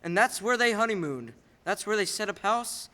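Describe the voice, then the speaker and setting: A young man plays a radio-drama storyteller. His male voice is high and bright, explaining events to a companion. It rings with the long reverb of a hall. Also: animated, moderate pace. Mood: explanatory. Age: young adult. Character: storyteller recounting a man's life to a companion. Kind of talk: radio play narration. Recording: echoey hall.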